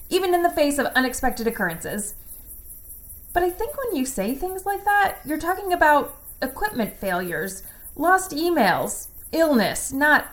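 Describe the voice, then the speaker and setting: An earnest company employee logging a report alone in an audio drama. Her high voice moves in lively swings of pitch. She is conscientious and self-correcting, leaning on stiff corporate self-help phrasing. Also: exaggerated voice. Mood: unsettled, hesitant. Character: earnest, self-critical corporate worker alone on a remote outpost. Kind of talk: audio drama monologue as a recorded log entry.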